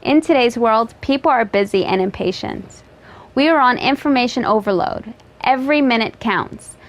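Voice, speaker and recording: A woman reading a scripted promo in a steady, persuasive sales delivery. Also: fairly high pitch, normal speed, dull audio. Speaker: ad narrator.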